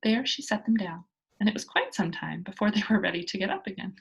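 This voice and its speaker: A woman reading a children's story aloud in an expressive storytelling style. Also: mid-range voice, fast talker, gravelly, husky. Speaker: children's book reader.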